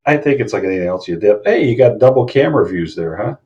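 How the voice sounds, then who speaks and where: A middle-aged man with a Chicago accent rambles casually over a voice chat and restarts his sentences often. His voice is low and mellow, and the voice-chat audio comes through dull and muffled. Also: expressive, quick pace. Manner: rambling, chatty, lots of restarts. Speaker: caller on a voice chat.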